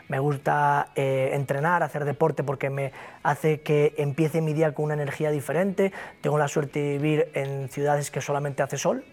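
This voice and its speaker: A man's voice: a little high, darkish tone. A man with a Galician Spanish accent, a guest in an interview, speaking emphatically and repeating himself in a motivational way. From Galicia, Spain. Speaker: interview guest, broker company founder. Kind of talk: interview conversation.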